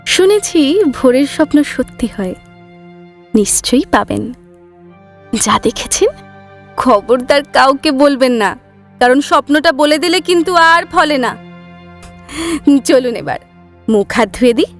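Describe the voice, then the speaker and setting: An actress playing a caring nurse in an audio drama, gently scolding and coaxing her patient. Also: high-pitched, animated, measured pace, long pauses. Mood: caring, instructing. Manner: chiding but kindly, coaxing. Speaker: nurse character. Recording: music under the voice.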